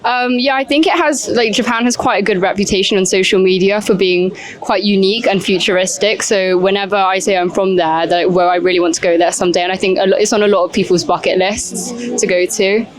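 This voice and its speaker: Relaxed chatty teen girl from England. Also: mid-range voice, fairly quick, hardly any pauses. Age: teens. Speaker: interviewee. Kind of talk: casual chat.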